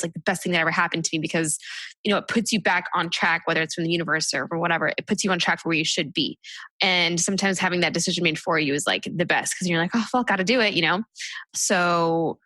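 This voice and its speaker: A woman's voice: fairly low pitch, bright, forceful tone, no echo. A woman from the United States, a stand-up comic guest, talking in a casual interview, chatty and full of "like" and "you know" fillers.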